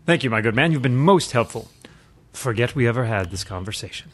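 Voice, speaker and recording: Radio-serial spoof lead: suave, self-important mystery crimefighter, mid-pitched with a slightly rough voice male actor. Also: expressive, a little fast, fairly bright tone. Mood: polite, insistent. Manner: theatrical, pompous. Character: suave millionaire playboy secretly a pompous mysterious crimefighter. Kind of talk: parody of 1940s radio drama.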